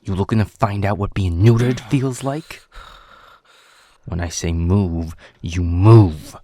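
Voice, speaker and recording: Audio roleplay werewolf enforcer, played by a man: very husky, aggressive, sarcastic, dry close sound. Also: low voice, unhurried, a little mellow, no room sound, heavily acted. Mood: menacing. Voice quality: gravelly, husky. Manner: taunting, sarcastic, swearing. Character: aggressive, foul-mouthed male werewolf pack beta.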